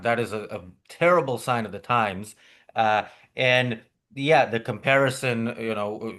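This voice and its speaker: A man hosting a political podcast, talking in a halting way with many fillers and restarts over a video call. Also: lowish voice, a bit bright. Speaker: podcast host. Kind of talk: political commentary chat.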